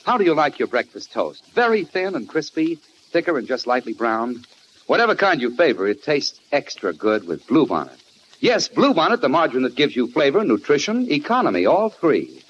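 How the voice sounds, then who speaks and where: A man's voice: mid-range voice, huge pitch swings, normal speed. An American man reading a scripted commercial as a 1940s radio announcer. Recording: dull, very noisy old radio recording.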